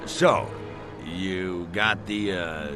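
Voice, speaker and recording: An actor playing a cocky, sarcastic little gray alien in a video game, with an American accent and a gravelly voice. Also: male voice, low voice, very slow, over music. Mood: awkward bluffing. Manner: sarcastic, brash, drawling wiseguy. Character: small gray alien invader, cocky wisecracking male. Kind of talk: video game cutscene acting.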